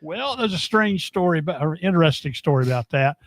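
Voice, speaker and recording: Joking man, slightly high voice. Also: expressive, no room sound. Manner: joking, teasing, quick asides. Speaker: co-host and wrestling commentator. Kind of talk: casual chat.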